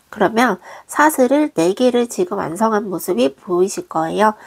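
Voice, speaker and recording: A woman, hoarse from a cold, patiently walking viewers through a craft tutorial step by step in a gentle, polite way. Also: mid-range voice. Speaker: crochet designer teaching.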